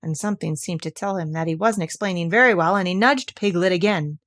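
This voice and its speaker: A woman narrating a children's storybook in an expressive read-aloud style. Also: fairly low pitch, fairly quick. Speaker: storybook reader.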